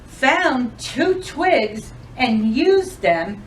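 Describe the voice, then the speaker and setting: A woman's voice: high voice, very animated, drawn-out words, distant mic, slight background noise, low-quality recording. A woman reading a children's storybook aloud as a storytime reader, expressively and addressing the young listeners directly.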